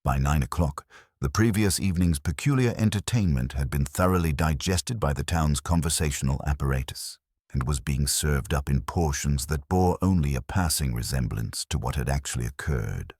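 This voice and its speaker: Really low guy reading a whodunit, dry irony, voice swings up and down, close dry sound. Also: expressive, darkish tone, breathy edge, dry recording. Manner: literary, measured, dryly ironic. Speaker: narrator, audiobook storyteller. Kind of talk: scripted narration of a wry mystery story.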